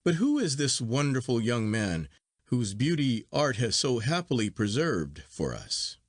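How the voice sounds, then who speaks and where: Man voicing an eager, excitable younger gentleman. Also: medium pitch, a little slow, dry recording, clean studio sound. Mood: excited, amazed. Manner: exclamatory, inquisitive. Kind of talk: acted dialogue in storybook reading.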